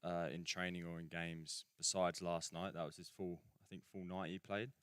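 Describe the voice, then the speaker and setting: Deep-voiced laid-back man with half-English accent. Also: little pitch movement, normal speed, a bit bright, clean tone, no echo, clean studio sound. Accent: mixed English and Australian. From England. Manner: laid-back, slangy, trailing fillers. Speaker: football player guest. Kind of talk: casual radio chat. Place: radio studio.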